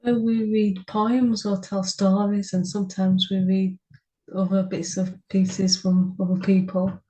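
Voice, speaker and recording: Woman, podcast co-host, author, mellow tone, steady narration. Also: medium pitch, unhurried, rough recording. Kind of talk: casual chat and reading a book prologue.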